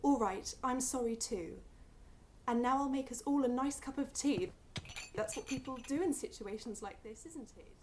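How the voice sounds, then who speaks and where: A young British actress playing a brisk, practical grown-up daughter, touchy but dutiful, in a radio play. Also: female voice, high voice, darkish tone, close to an everyday voice. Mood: calm, practical. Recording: echoey room, faint noise.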